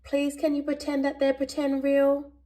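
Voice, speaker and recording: A woman's voice: sits high, completely flat delivery, moderate pace, warm tone, pitched above the performer's own voice, lightly acted. Woman as a moaning little sausage dog pup. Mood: pleading.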